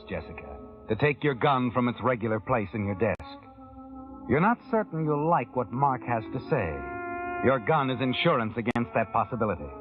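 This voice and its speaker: A man narrating a vintage radio thriller in the second person, in an insinuating, probing manner. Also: mid-range voice. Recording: tinny old radio broadcast with music.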